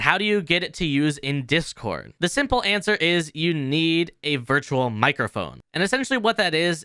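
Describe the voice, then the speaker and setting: Bright-voiced man walking through software, very dry. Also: on the high side, clean tone, no room sound. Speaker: tutorial host. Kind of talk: casual software tutorial.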